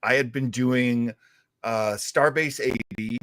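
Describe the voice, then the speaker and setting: A man co-hosting a casual livestream chat, talking in an excitable, exclamatory way. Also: mid-range voice, clean tone, no echo. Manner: excitable, exclamatory, quick to laugh. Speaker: show co-host.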